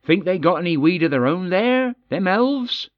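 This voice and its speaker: A good-humored dwarf warrior, as a male narrator puts him on, pitched well above the narrator's own voice. He talks easygoing in a folksy dwarvish dialect. The recording is dry and dull. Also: lively intonation, moderate pace, dry recording.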